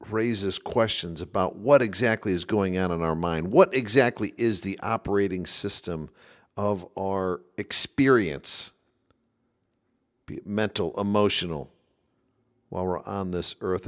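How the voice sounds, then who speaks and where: Male podcast host; low-ish voice, musing, conversational, dryly wry at times. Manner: thoughtful, conversational, occasionally wry. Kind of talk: reflective solo podcast monologue.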